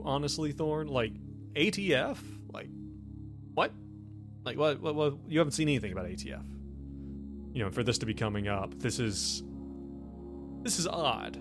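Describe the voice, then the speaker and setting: A man improvising tabletop game narration as game master, conversational and halting, often repeating himself. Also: mid-range voice.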